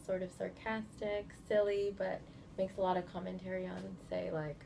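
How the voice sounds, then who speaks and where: A woman from California in her thirties, answering interview questions in a relaxed, chatty way with lots of fillers. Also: on the low side, moderate pace, gravelly. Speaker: guest. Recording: noisy indoor recording.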